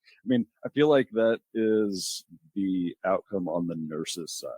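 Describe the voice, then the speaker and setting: Man, very low voice, podcast co-host, halting casual explaining, dry close sound. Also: animated, darkish tone, slightly rough, dry recording. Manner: halting, restarts mid-sentence when explaining. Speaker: podcast co-host, registered nurse.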